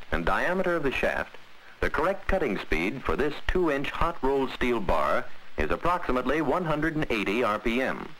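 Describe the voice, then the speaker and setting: Lively American narrator, vintage training film. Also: male voice, mid-range voice, poor audio. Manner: measured, precise, instructive delivery. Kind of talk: scripted 1940s instructional film narration. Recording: old 1940s film soundtrack, faint hiss.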